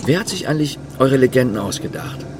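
A man's voice: medium pitch, expressive, fast talker, lightly acted. An actor playing a guarded, weary policeman on the run in a naturalistic radio drama, speaking tersely. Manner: terse, reticent. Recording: clear vehicle and outdoor ambience noise.

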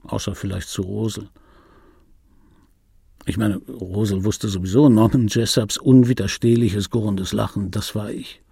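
A male actor playing a vain, aggrieved middle-aged dubbing actor in a German radio drama monologue, insistent and repetitive, with a raspy voice. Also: on the low side, warm tone, no echo, studio quality, heavily acted. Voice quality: raspy, gravelly. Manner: insistent, repetitive, self-justifying. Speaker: narrator of a radio play. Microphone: close studio microphone, dry.

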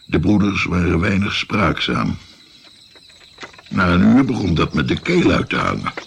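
Old grandpa telling his story, rambly, gravelly, really swoopy intonation, radio play. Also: deep voice, very animated, a little hiss or hum, heavily acted. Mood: reminiscing. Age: older. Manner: rambling, halting, hems as he recalls.

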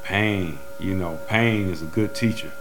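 Low-voiced man talking casually. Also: measured pace, over music. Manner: streetwise, colloquial. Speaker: interviewee. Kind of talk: casual interview talk.

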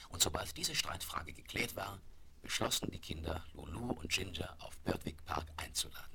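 A man narrating a 1970s German children's radio play in a measured, literary storytelling manner. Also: deep voice, creaky, exaggerated voice. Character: storyteller of a children's horse adventure.

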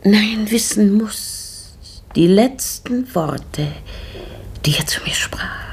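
A grieving widow who lies under every word, searching and halting, sinking into breathy whispers. Her voice is husky and airy, and she draws her lines out slowly with wide swings in pitch. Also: animated, full character voice. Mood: brooding. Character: grieving widowed lady of a house, brooding. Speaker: Donna Francisca, mistress of the house. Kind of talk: 1960s German radio play acting.

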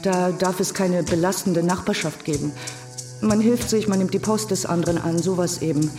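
A woman's voice: on the low side, normal speed, close to an everyday voice. A woman playing a reserved, independent-minded painter giving testimony in a radio crime drama, precise and matter-of-fact. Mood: reserved, uneasy. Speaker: actor. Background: music.

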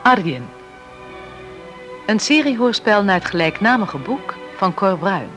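A man's voice: high voice, big pitch swings, unhurried, fairly bright tone, faint music behind. A man reading a scripted announcement as the announcer of a radio drama.

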